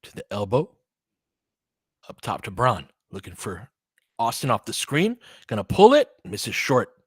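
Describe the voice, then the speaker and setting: A man's voice: fairly low pitch, huge pitch swings, measured pace, husky, dry recording, clean studio sound. A man giving casual, slangy live basketball play-by-play as a partisan fan host, often in short clipped phrases.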